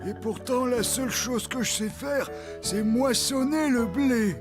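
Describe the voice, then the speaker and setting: A woman voicing an insecure male combine harvester in a children's cartoon, slow and mournful in manner. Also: a little high, natural voice. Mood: gloomy. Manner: slow, mournful, self-doubting. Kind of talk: French children's cartoon dub. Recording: music behind the voice.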